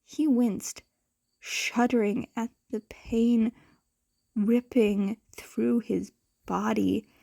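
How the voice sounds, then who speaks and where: Slow, soft-toned woman narrating fiction. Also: a little high, steady tone, gravelly. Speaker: podfic narrator. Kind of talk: expressive scripted fiction narration.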